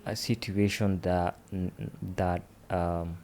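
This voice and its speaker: Slow, very low-voiced man. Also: steady tone, mellow. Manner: slow, hesitant, with many fillers. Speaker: podcast guest. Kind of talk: casual interview chat.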